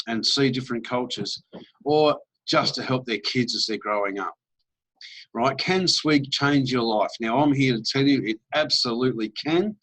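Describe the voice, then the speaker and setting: A man's voice: mid-range voice, a bit bright, low-quality recording. A middle-aged Australian man giving an upbeat, persuasive sales presentation with casual fillers. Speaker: network marketing presenter.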